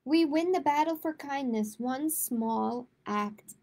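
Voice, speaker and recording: A woman hosting a live picture-book storytime for children, speaking to viewers in simple, deliberate phrases. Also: high voice, darkish tone.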